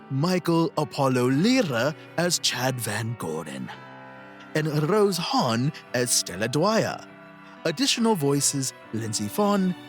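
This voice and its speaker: A man working as an announcer, reading scripted podcast credits. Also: mid-range voice.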